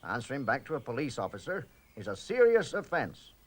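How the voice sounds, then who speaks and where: A man voicing a pompous, bumbling rural police constable in slow countrified dialect. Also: mid-range voice, sing-song. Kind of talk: 1960s children's TV animation voice.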